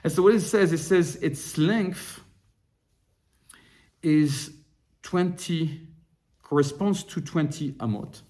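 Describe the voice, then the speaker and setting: A man teaching a study group on a religious text in an informal lesson. He often restarts and repeats words, then turns more animated as he works through a passage. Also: fairly high pitch. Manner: halting, restarts and repeats words while explaining. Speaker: Torah teacher.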